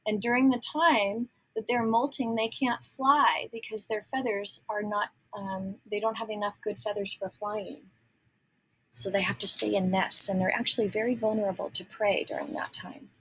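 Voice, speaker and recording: A woman reading a children's storybook aloud expressively, pausing to add simple explanatory asides. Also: mid-range voice, fairly even intonation, a bit bright, slightly rough, poor audio. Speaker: storybook reader.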